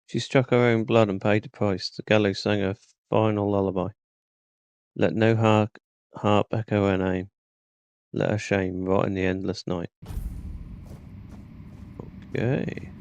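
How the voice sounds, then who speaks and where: Low, creaky-voiced man reading aloud. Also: little pitch movement, unhurried, long pauses, a little mellow, dry recording, highs cut off. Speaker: gaming video creator playing a role-playing game. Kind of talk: reading game text aloud while playing.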